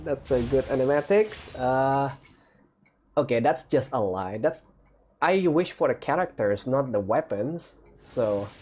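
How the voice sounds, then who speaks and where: A man's voice: medium pitch, expressive, measured pace, darkish tone, a little hiss or hum. A man hosting a gaming video, giving casual, off-the-cuff commentary in short reacting phrases.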